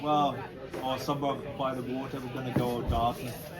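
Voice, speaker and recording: A man from Berlin chats in a relaxed way, with a dry sense of humor and an even mid-range voice. Very loud crowd noise surrounds him in this outdoor recording. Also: medium pitch, normal speed. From Berlin, Germany. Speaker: passer-by in conversation. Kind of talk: casual chat.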